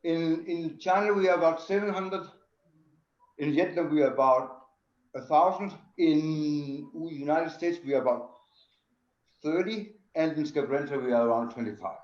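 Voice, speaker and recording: Higher-voiced Danish man in a roomy space. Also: normal speed, darkish tone. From Denmark. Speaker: interview guest, tannery sustainability director. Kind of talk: explanatory interview answers. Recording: roomy, dull lo-fi sound.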